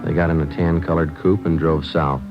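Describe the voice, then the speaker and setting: An old, dull-sounding radio recording with music carries a very low male voice. It is a no-nonsense police sergeant, clipped and matter-of-fact, in a hard-boiled crime drama. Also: fairly even intonation, moderate pace. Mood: flat, factual. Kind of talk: 1940s-50s radio police drama acting and narration.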